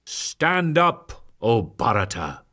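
A man narrates sacred verse as a dramatic reading, solemn and measured, with deliberate phrasing and frequent pauses. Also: medium pitch, very animated, pushed and bright.

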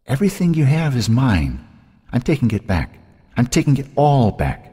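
A man's voice: medium pitch, sing-song, moderate pace, mellow, husky, in a large hall, pitched above the performer's own voice. A man voicing a mocking, relentless dead woman who taunts venomously in an audiobook. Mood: menacing. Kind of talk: audiobook character voice.

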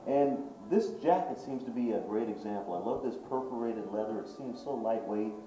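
A man's voice: mid-range voice, normal speed, mellow, distant mic, soft background music. Upbeat male style host, roomy shop. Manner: upbeat, polished presenter patter. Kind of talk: on-location style interview. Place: menswear boutique, indoors. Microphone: far camera. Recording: roomy shop sound from off-mic.

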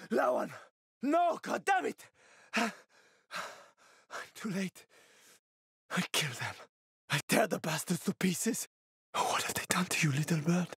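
An actor playing a wry, roguish middle-aged survivor in a video game, with a sardonic, slangy, casual way of talking. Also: male voice, sits high, animated, slow, creaky, long pauses, fairly bright tone, slightly rough, dry recording, clean studio sound, exaggerated voice. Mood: anguished. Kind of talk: video game character acting.

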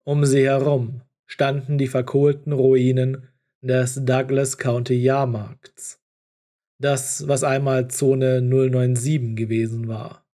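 A man's voice: medium pitch, level tone, takes it slowly, mellow. A man reading scripted story narration as an audiobook narrator.

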